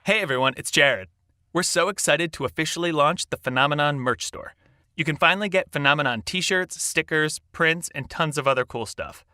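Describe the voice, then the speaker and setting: A man's voice: a little high, moderate pace, pushed and bright, smooth, no room sound. A man reading a scripted podcast merch promo in an upbeat, enthusiastic manner, close on the mic.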